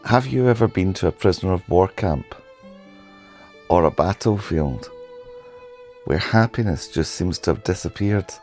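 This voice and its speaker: A man narrates a documentary in a slow, solemn, measured way, his voice hoarse from a sore throat. It sits very low and sounds mellow. Faint music plays underneath. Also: animated. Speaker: history channel host.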